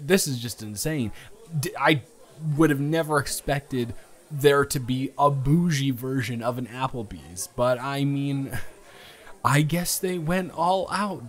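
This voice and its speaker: A man acting out a playful, flustered young guy on a first date in an improvised audio roleplay, teasing and stammering with mock indignation. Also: on the high side. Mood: amused, teasing. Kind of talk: improvised romantic audio roleplay, casual chat.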